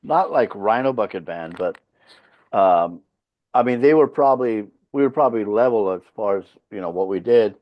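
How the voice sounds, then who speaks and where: A man's voice: fairly low pitch, lively intonation, normal speed, highs cut off. A man from Finland whose first language is Swedish, a rock band leader chatting as a podcast guest, blunt and profane, hammering home his points. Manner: blunt, profane, emphatic storytelling. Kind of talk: casual interview chat.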